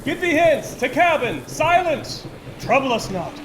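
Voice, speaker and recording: A man's voice: very high-pitched, very animated, measured pace, heavily acted. A man playing a blustering, insolent ship's bosun barking orders in a Shakespearean audio drama. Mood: brusque, impatient. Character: bawling, insolent, harried ship's bosun in a storm. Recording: clear noise, online remote recording, storm ambience.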